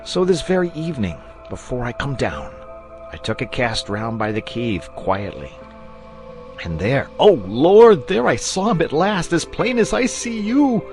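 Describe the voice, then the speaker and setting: A man's voice: medium pitch, big pitch swings, a little slow, darkish tone, faint music behind, pitched above the performer's own voice. A man voicing an agitated, superstitious country shepherd in an audiobook, with rustic, exclamatory phrasing. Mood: frightened. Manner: rustic dialect grammar, exclamatory.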